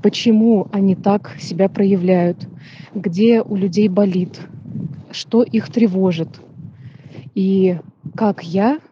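A woman's voice: mid-range voice, mellow, dull audio, slight background noise. A woman giving a thoughtful, emphatic testimony in a recorded voice message. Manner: thoughtful, emphatic, stresses key words.

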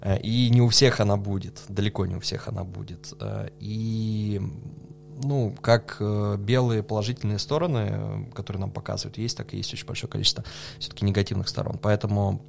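A man talking through his answers in a relaxed podcast interview, pausing with fillers and laughing softly now and then. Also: on the low side, vocal fry, a little hiss or hum. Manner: thoughtful, hesitant with many fillers, laughs softly. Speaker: podcast guest, coffee judge.

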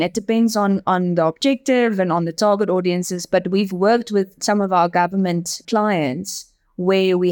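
A woman's voice: on the low side, darkish tone. A woman from Namibia with an Afrikaans accent, talking earnestly in an interview as an agency director, often repeating words as she thinks. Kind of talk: interview conversation.

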